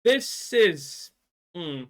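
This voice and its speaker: Bright, animated man giving blunt gaming commentary. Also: sits high. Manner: blunt, critical, halting with repeated words. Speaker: video commentator reacting to another creator's video. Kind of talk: unscripted gaming reaction commentary.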